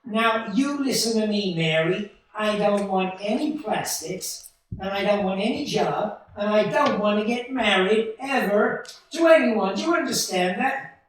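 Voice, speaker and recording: Man acting a restless, blustery small-town young man, echoey. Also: very high voice. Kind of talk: 1940s radio play acting. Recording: very echoey hall, distant mic.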